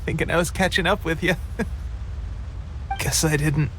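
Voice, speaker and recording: An actor playing a friendly young soldier in a game cutscene, weak and exhausted, speaking haltingly and trying to stay cheerful. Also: male voice, on the high side, fairly even intonation, a bit bright, slight background noise. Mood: weakly reassuring. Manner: halting, trailing speech. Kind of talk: video game cutscene voice acting.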